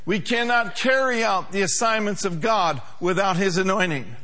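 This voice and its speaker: A man preaching a sermon as pastor, his voice pitched high and ringing bright. He drives his points with emphasis and calls on the congregation to answer back. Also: moderate pace. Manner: emphatic preaching with call-and-response.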